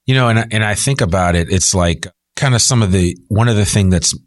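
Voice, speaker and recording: A man's voice: lowish voice, a little fast, no room sound. A man hosting an interview in a casual, chatty way, given to telling little anecdotes. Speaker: interview host.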